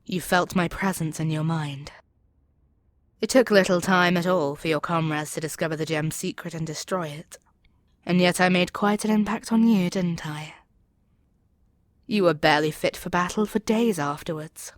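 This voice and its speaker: A woman's voice: lowish voice, normal speed, no echo, studio quality, close to an everyday voice. A woman voicing an arrogant, seductive demon queen in an ASMR audio roleplay, speaking in an imperious, coaxing way that can drop toward a whisper. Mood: smug gloating. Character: arrogant, seductive demon queen probing a captive hero's mind.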